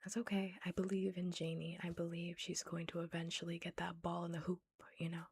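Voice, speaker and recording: A woman's voice: fairly low pitch, monotone, brisk, darkish tone, slight background noise. A young woman whispering through an ASMR-style book reading, chatting casually about the story with frequent "like" in between. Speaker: book reader.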